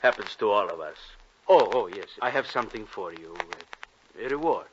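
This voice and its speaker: A man's voice: fairly low pitch, expressive, moderate pace, close to an everyday voice. Man playing a swooping, menacing communist villain. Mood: threatening. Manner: taunting, theatrical. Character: menacing, gloating male communist party villain. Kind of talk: 1950s radio villain acting. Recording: muffled, noisy vintage radio recording.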